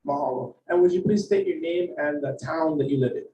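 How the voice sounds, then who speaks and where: A man chairing a public planning meeting, reading the procedural rules in a measured, formal way. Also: fairly high pitch, warm tone, recorded from a distance, a little hiss or hum. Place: meeting hall. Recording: dull, roomy audio from off-mic.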